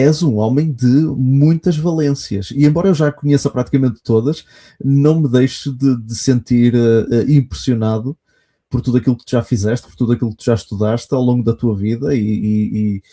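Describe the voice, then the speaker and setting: A European Portuguese man hosting a podcast in an eager, effusive manner. His phrasing often stalls and restarts. His voice is very dark and mellow, at a middling pitch. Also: fairly even intonation, brisk. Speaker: podcast host. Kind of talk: podcast hosting, casual chat.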